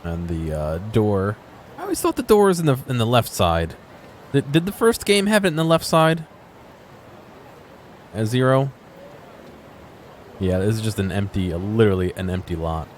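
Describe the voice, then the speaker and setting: Low-voiced man musing over a game. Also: very animated, a little hiss or hum. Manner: musing, thinking aloud in halting fragments and self-directed questions. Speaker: video game streamer. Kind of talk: casual off-the-cuff gameplay commentary.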